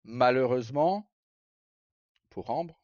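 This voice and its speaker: A man's voice: mid-range voice, slow, darkish tone, no echo. A middle-aged man talks casually on a livestream in an informal, rambling and hesitant way. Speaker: live streamer. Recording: dull, lo-fi sound.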